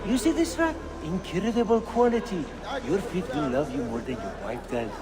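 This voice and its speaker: A man's voice: high voice, animated, moderate pace, a crowd in the background. An actor playing a wheedling, chatty bazaar rug merchant in a video game, full of theatrical salesman's patter. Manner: salesman's patter, mock-offended. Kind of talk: video game character acting.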